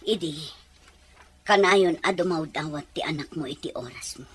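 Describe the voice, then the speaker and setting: A woman's voice: low-pitched, normal speed, husky. A woman playing a hurt but caring wife and mother in an emotional audio drama. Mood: reproachful, caring.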